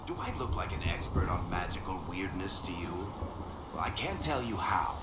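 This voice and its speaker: Roomy, echoing game audio with noise behind a very deep male voice. a worldly storyteller rogue, sardonic and conversational, as if swapping stories. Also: expressive, normal speed. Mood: dry, sardonic. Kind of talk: video game dialogue acting. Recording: roomy echo, clear background noise.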